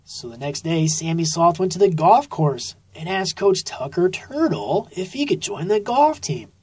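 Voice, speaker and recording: A woman narrating a children's story expressively and deliberately, drawing out key phrases, recorded in a studio. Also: fairly low pitch, very animated, a little mellow, breathy edge, dry recording. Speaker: author reading own children's book.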